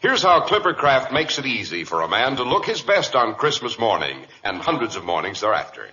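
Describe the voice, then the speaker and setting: An American man works as a 1940s radio announcer and host. His voice is bright and very lively, with polished, emphatic salesmanship. It comes through a muffled vintage recording. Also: mid-range voice, a little fast.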